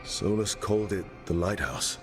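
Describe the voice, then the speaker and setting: A man's voice: sits low, normal speed, music in the background, natural voice. An actor playing a level-headed male fantasy game hero who talks in a matter-of-fact way.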